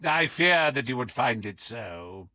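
A man's voice: mid-range voice, big pitch swings, measured pace, sharp tone, dry recording. A man voicing a haughty old lord, stiff, impatient and disdainful, in an audiobook. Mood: sneering.

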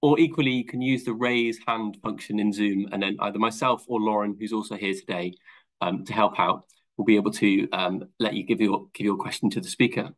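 A man's voice: lowish voice, fairly even intonation, poor audio. Man hosting a webinar over video call. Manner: friendly, slightly halting with fillers. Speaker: webinar host. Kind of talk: introducing a speaker.

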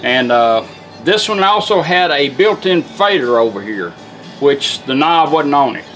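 A man talks through a casual hands-on product demo in a folksy, unpolished way. His voice sits a little on the high side. Some music plays in the background. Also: lively intonation, unhurried, a bit bright, rough recording. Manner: folksy, plain-spoken, hands-on. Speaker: car radio restorer and demonstrator. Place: indoor workshop bench. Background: music from the demonstrated radio.

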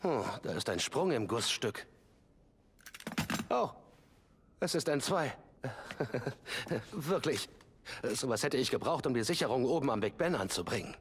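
A flustered young gentleman inventor from a Victorian video game. He is formal and courteous, with a very lively, swooping delivery. There is clear noise behind him. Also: mid-range voice, a little slow, pauses often. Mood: earnest. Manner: polite, hesitant, formal. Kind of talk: German video game dub acting.

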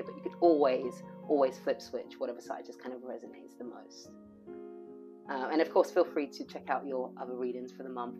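A woman with a low, hoarse voice talks through a tarot reading, circling back on her phrases and leaning on fillers. Faint music plays quietly in the background. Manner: rambling, repeats phrases, many fillers. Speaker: tarot reader. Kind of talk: intuitive tarot reading to camera.

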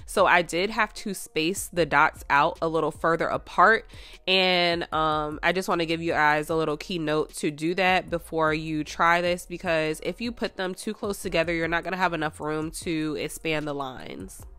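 Female nail vlogger, slightly low voice, hoarse and congested, chatty and rambling, dry close sound. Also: normal speed, pushed and bright, dry recording. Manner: chatty and rambling, full of "like" and "you guys". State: hoarse and stuffed up. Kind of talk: casual nail art tutorial.

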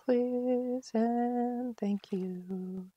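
A woman's voice: on the high side, steady tone, very slow, highs cut off. A woman giving casual live game commentary as a streamer, chatty and self-deprecating in her manner.

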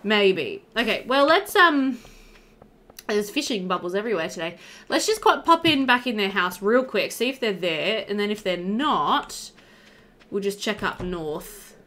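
A woman's voice: mid-range voice, lively intonation, a little slow, fairly bright tone. A young adult woman giving casual, chatty commentary while playing a video game. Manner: chatty, reads game dialogue aloud playfully. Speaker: gaming video host. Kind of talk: casual let's play commentary. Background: game music.

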